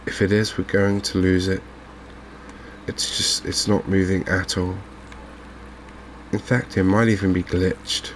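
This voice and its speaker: A man giving calm, understated, softly spoken ASMR commentary on a video game, in an unhurried way. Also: low voice, a little hiss or hum. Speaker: gaming video host.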